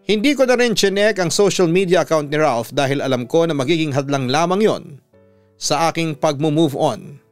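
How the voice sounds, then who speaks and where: Smooth Filipino male storyteller, higher-pitched voice. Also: no echo. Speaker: radio announcer reading listener letters. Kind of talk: scripted dramatic first-person story narration.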